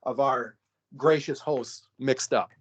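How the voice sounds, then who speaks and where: A man's voice: mid-range voice, takes it slowly. A man hosting a casual reality-show review podcast, talking in a rambling way with many fillers and easy laughs. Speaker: podcast host. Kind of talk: casual chat.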